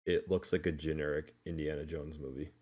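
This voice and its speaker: A man's voice: very deep voice, level tone, a little fast, a little mellow, muffled recording. A man co-hosting a podcast, talking informally in a casual discussion and hedging his points as he goes. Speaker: podcast co-host.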